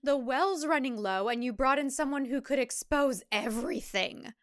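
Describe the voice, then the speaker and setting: A woman's voice: high voice, moderate pace, no room sound, studio quality, pitched above the performer's own voice, close to an everyday voice. A woman voicing a domineering, irritable mother who runs a cult, with a curt, scolding manner. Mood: hissing, angry.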